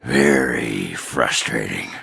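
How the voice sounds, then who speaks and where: A gruff dwarf warrior from a fantasy video game. His voice is extremely low and full of gravelly fry, with a forceful, hard edge. He talks bluntly and crudely, like a sardonic drinker with a grudge. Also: animated, extremely slow, dry recording, clean studio sound, full character voice. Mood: tipsy, sarcastic. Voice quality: very deep, gravelly, rough. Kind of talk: video game character dialogue.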